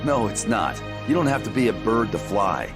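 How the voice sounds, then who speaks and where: Gruff, cynical male game hero, low register, blunt and dry, loud music underneath. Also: very animated, normal speed. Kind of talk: video game cutscene acting.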